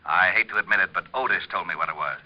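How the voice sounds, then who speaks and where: Man as a fast, deep, gruff police lieutenant. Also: close to an everyday voice. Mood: blustery. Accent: American. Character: blustery, teasing male police lieutenant. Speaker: radio actor. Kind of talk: 1940s radio detective acting. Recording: dull, muffled old radio recording.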